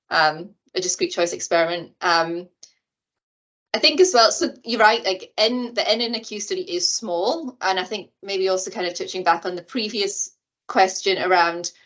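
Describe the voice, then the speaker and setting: Low-voiced woman explaining over a call. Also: a bit bright. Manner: explanatory, with many fillers like "you know" and "kind of". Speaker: invited expert. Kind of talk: online seminar explanation. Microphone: video call. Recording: muffled video-call audio.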